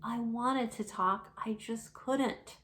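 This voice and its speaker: A woman voicing a frightened young female winged kitten from a storybook, in short halting phrases. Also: a little high, a bit bright, pitched above the performer's own voice. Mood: tender, relieved. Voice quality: hoarse, hissing when afraid.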